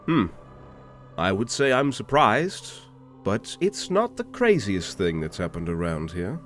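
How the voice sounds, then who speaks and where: An actor playing a distinguished, learned gentleman who speaks in a formal, measured, polite manner with a gravelly creak. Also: lowish voice, big pitch swings, soft background music. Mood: bemused, polite.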